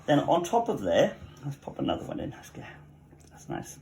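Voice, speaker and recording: A man's voice: a little high, huge pitch swings, normal speed, creaky, a little mellow, low-quality recording. Chatty Welsh man teaching a recipe. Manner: chatty, with joking asides. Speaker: cafe cook. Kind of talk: cooking tutorial.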